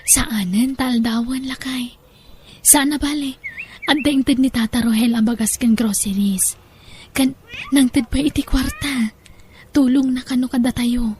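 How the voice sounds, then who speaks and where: A woman's voice: high voice, steady tone, measured pace, long pauses, dark tone, a little hiss or hum. A woman playing a worried, hard-up village wife and devoted daughter in an audio drama, speaking with a Filipino Ilocano accent and a plaintive manner. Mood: chatty. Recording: music under the voice.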